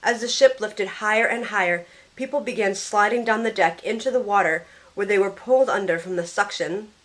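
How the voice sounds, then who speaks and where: A woman performs a young shipwreck survivor in a fan-made audio drama. She tells her story in the first person, earnest and grieving, at a middle pitch. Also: a little fast, fairly bright tone, close to an everyday voice. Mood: sorrowful. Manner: earnest storytelling, tearful in dialogue.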